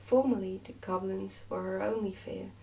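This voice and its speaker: Woman doing soft asmr book reading, mellow voice w some fry, mic a bit far off. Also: medium pitch, steady tone, moderate pace, a little hiss or hum. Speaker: ASMR reader. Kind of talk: soft ASMR storybook narration.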